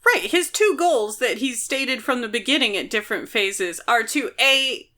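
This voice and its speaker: Bright-voiced woman chatting about books. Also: a little high, lively intonation, moderate pace. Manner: opinionated, argues points at length. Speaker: podcast co-host. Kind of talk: casual book discussion.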